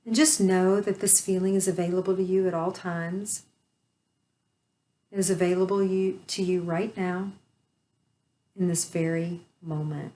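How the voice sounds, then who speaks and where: A woman leading a guided meditation, speaking softly and soothingly with long pauses. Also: fairly low pitch, steady tone.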